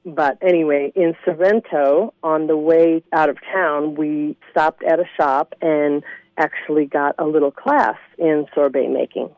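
A woman caller phoning in to a radio show, gushing and excited about her travels. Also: deep voice, unhurried, no echo. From Colorado. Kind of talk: phone-in chat. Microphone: phone. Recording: lo-fi phone line.

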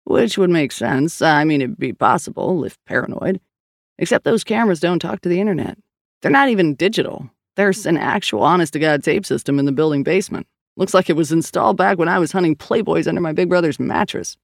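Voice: mid-range voice, lively intonation, fairly quick, vocal fry, no room sound. A narrator doing a weary, blunt male cop. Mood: dry. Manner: dry and dismissive. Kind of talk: audiobook character voice.

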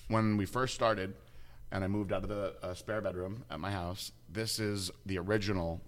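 A man's voice: low-pitched, fairly even intonation, normal speed. Chatty man hosting an interview. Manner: upbeat, chatty, many restarts. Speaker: host. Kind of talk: casual interview.

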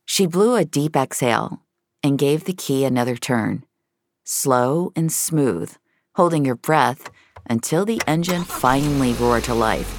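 A woman's voice: very deep voice, expressive, a little slow, dry recording. A woman narrating scripted audio fiction in a dramatic storytelling style.

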